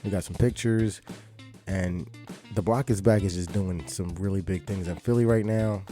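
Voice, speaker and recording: A man hosting a podcast, talking through the intro and announcements in an easygoing, conversational way, a little hoarse. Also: deep voice, steady tone, moderate pace, warm tone, soft background music. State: slightly hoarse. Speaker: podcast host.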